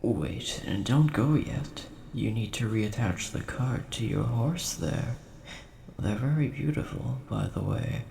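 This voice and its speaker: A man voicing a large, mild-mannered, nerdy dragon with a gravelly voice and a polite, easily offended manner, in a scripted audio roleplay spoken to the listener. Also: mid-range voice, expressive, darkish tone. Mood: friendly, grateful. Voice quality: gravelly, creaky. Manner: polite, measured, mildly indignant. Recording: roomy echo, faint background hiss.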